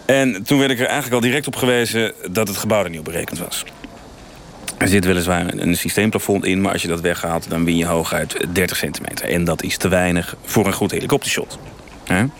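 Low-voiced, rambling TV director. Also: male voice, steady tone, fast, fairly bright tone, slight background noise. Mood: earnest explaining. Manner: hesitant, rambling, repeats himself, self-serious. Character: earnest, frustrated male TV news director with cinematic dreams. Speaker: interview guest. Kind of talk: mock radio interview.

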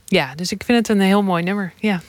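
A woman's voice: mid-range voice, fairly quick, dry recording. A young adult woman giving casual, thinking-aloud answers as a guest in an interview. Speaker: studio guest, academic researcher.

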